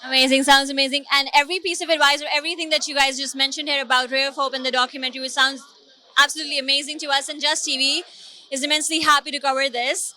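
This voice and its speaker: A woman's voice: high voice, little pitch movement, very fast, bright, forceful tone. A woman reporter interviewing guests at a film premiere, effusive and enthusiastic. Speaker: TV event reporter. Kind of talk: red-carpet interview.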